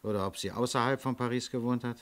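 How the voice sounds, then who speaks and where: An actor playing a calm, pensive police chief inspector, terse and commanding, in a German radio crime drama. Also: male voice, mid-range voice, fast talker, slight background noise, close to an everyday voice. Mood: pensive, decisive.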